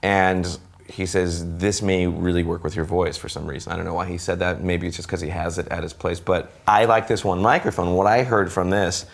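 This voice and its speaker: A man's voice: sits low, steady tone, a little fast, vocal fry, few pauses. A man with a nasal voice, hosting a casual studio conversation and thinking aloud as he goes. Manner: rambling, self-correcting, thinks aloud. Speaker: musician and show host.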